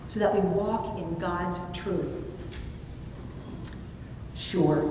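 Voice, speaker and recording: Echoey, distant woman preaching. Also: mellow. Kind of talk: sermon with storytelling, read from notes. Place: small indoor church room, at a pulpit. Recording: distant mic, very echoey room, rumbly noise.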